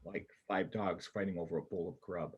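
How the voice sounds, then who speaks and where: Man playing a husky, cynical, hardened bank robber. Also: sits low, steady tone, normal speed. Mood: grim, matter-of-fact. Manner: blunt, colloquial, sardonic. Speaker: amateur church play performer. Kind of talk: reader's theater performance.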